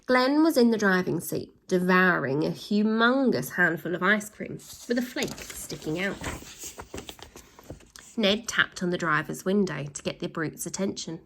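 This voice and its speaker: A woman narrating a children's book aloud in an animated storytelling style. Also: fairly low pitch, measured pace, poor audio.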